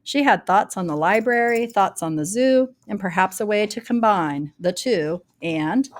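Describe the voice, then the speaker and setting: A woman's voice: medium pitch, lively intonation. Woman reading a rhyming picture book aloud. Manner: dramatic, deliberate storytelling with varied emphasis. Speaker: storybook reader.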